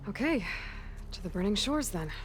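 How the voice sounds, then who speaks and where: An actress playing a resolute, guarded young adventurer in a video game cutscene, with a direct, dry, understated delivery. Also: female voice, mid-range voice, big pitch swings, normal speed, a little hiss or hum, lightly acted. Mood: dry, determined. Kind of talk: video game cinematic dialogue.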